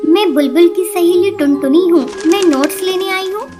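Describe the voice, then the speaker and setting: A woman plays a sweet young female bird with an extremely high, girlish voice. She is earnest, polite and pleading, as in a children's moral-story cartoon, with music clearly behind her. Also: normal speed. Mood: polite, persuasive.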